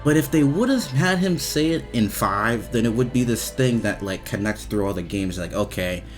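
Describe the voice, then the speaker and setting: Low-voiced, animated young man rambling about games. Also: moderate pace, faint music behind. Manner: hesitant, repetitive, swearing informally. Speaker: video game reviewer. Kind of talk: casual rambling commentary.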